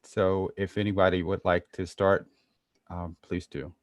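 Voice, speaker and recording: A man with a fairly low voice moderates a discussion at a slow pace. The sound is dry and close, and his phrasing is polite, informal and a little hesitant. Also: level tone, no room sound. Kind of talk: moderating an online roundtable.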